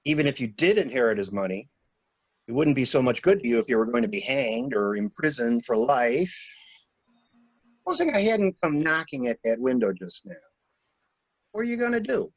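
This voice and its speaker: A man playing an animated, probing mystery stranger. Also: medium pitch, normal speed, slightly rough, no room sound, rough recording. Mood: probing, insistent. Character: probing, coolly insistent male stranger questioning a suspect. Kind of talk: dramatic reading of a murder mystery.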